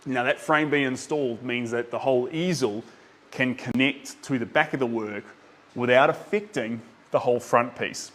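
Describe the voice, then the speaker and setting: A man's voice: medium pitch, a bit bright. A young man from New Zealand with a Kiwi accent, chatting casually with viewers while he paints, rambling with lots of ums. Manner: chatty, rambling with many ums, reads out chat names.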